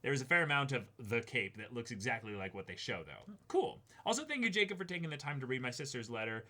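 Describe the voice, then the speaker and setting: A young man co-hosting an unboxing video, chatting casually and reading aloud with an exclamatory, animated delivery. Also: medium pitch, fast. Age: young adult. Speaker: co-host of an unboxing show. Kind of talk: casual excited chat with reading a letter aloud. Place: indoor room, seated before a backdrop.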